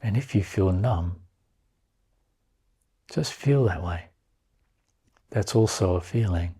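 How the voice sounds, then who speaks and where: A man guiding a meditation in a calm, unhurried manner, leaving long pauses between short phrases. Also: low-pitched, husky. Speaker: meditation guide. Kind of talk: guided meditation.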